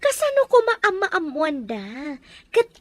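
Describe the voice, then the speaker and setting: A woman's voice: extremely high pitch, wildly swooping pitch, measured pace, no room sound, exaggerated voice. A woman playing a bossy, cruel female captor in an audio drama, commanding and scornful with a Filipino accent. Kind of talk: Ilocano audio drama acting.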